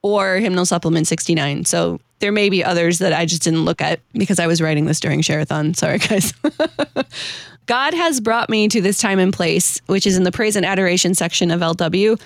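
A woman's voice: on the low side, moderate pace, gravelly, no room sound, studio quality. An American woman hosting a casual chat, informal and giggly, who also reads verses aloud. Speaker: host presenting a hymn-writer's story.

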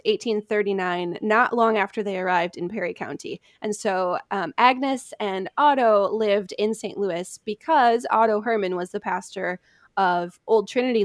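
A woman's voice: mid-range voice, no echo, clean studio sound. An American woman hosting a conversational history story, telling it from notes.

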